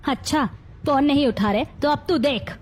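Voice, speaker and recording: Villainous middle-aged mother-in-law for a moral story, female actor: high voice, commanding, cruel, scheming. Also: moderate pace, a little hiss or hum, lightly acted. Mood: menacing. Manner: menacing, commanding.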